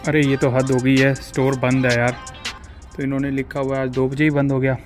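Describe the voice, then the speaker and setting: A man's voice: mid-range voice, completely flat delivery, fairly quick. A man in his thirties, a travel vlogger talking casually to the camera from inside his van, chatting with viewers like friends. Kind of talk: casual vlog talk to camera. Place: inside a van while driving. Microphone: camera held at arm's length. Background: loud background music.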